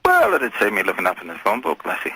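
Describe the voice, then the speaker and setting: A man calling in to a radio show, talking in a folksy, calmly menacing way. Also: sits low, quick pace, slightly rough, poor audio. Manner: folksy, calmly menacing, addresses others as lad and lassie. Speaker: phone-in caller. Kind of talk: casual conversational radio call.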